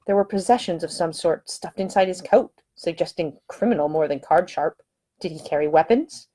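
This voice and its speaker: A woman reading her own romance novel as scripted audiobook narration, with expressive, dramatic storytelling. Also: lowish voice.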